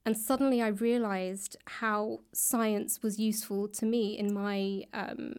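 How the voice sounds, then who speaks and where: A woman's voice: mid-range voice, steady tone, a little slow, darkish tone, smooth. A woman giving thoughtful, explanatory answers as an interview guest in a conversational style. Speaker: interview guest, author and actor.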